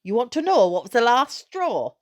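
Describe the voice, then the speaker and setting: A woman's voice: fairly high pitch, big pitch swings, moderate pace, voice pushed up. A woman reader plays a stubborn, emotional mother from a storybook. Her voice sits raised and pushes words out emphatically. The speech is colloquial and heartfelt. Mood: furious, bitter. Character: distraught, stubborn, angry working mother of a boy.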